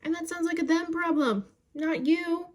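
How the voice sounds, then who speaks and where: A woman's voice: extremely high pitch, normal speed. A young woman around twenty giving chatty, encouraging advice to her phone camera, calling her viewers "babes". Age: young adult. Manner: chatty and encouraging, says "babes" and "you guys".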